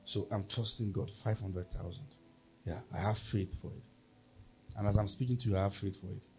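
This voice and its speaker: A man's voice: lowish voice, steady tone, fairly quick, soft background music. A man with a Nigerian accent preaching a sermon as pastor, emphatic and leading the congregation in call-and-response.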